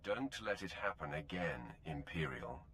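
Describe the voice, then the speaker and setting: A man playing an arrogant, menacing Sith warrior in a video game, curt, sardonic and commanding, with a gravelly voice. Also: rumbling low, lively intonation, unhurried, a bit bright, slight background noise, exaggerated voice. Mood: curt contempt.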